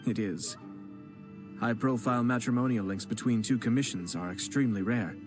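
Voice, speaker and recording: A composed young noble clan head, voiced by a man, formal and measured, with a quiet teasing wit. His voice sits at an ordinary middle pitch, and game music plays clearly behind him. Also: lightly acted. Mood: courteous calm. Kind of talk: game voice acting.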